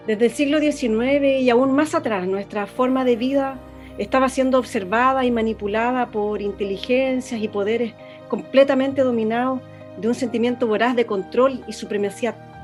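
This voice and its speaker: A woman with a Chilean accent playing a radio station director and mother, speaking solemnly and pleadingly to listeners, sometimes halting to correct herself. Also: fairly high pitch, faint music behind, natural voice. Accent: Chilean Spanish. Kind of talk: radio drama acting.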